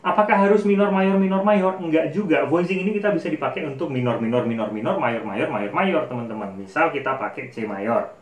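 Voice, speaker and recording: A man's voice: a little high, a little fast, hardly any pauses. Man teaching casually, roomy distant sound. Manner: informal, demonstrative, often counting out notes. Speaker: piano teacher. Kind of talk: casual tutorial talk. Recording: roomy, distant mic, loud piano music.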